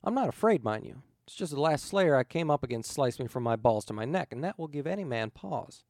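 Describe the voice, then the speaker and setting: A man's voice: medium pitch, lively intonation, brisk, darkish tone, no room sound, clean studio sound, voice pushed up, lightly acted. A man playing a folksy, menacing former preacher turned villain in a story reading. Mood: wry. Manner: folksy, rustic phrasing.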